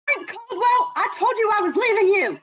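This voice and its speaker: A woman's voice: very high voice, sing-song, normal speed, fairly bright tone, exaggerated voice. A woman playing a skeptical, fed-up wife in an old-time radio drama, sardonic and questioning.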